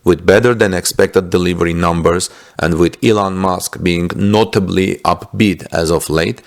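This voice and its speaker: Low-pitched man with a gravelly voice. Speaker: host reporting business news. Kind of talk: news commentary read from a script, with asides.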